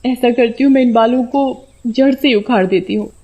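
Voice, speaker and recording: A woman puts on a vain village wife from a storybook, fretting in a high voice. Her delivery is plaintive and full of dismay. The recording is a little dull. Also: moderate pace, lightly acted. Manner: high, plaintive wailing exclamations.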